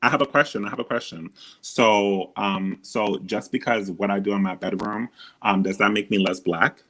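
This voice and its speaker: A man whose voice sounds feminine and a touch husky sits fairly low in pitch as he hosts a heated live debate. He keeps breaking in, repeating "hold on" over and over to win back the floor. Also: fairly even intonation, moderate pace, a bit bright. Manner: interrupts often, repeats "hold on" to take the floor. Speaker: host.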